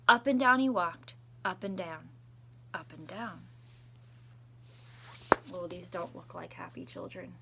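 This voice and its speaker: A woman's voice: lowish voice, slow, creaky, long pauses, noisy background. A woman reading a children's picture book aloud expressively, adding chatty asides as a school storytime reader.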